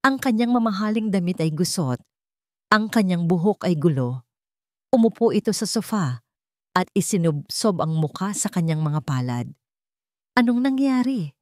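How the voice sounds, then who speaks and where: A woman with a fairly low voice narrates a scripted romantic drama. She reads as a storyteller in dramatic style, recorded dry with no room sound. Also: animated, normal speed, a little mellow, dry recording.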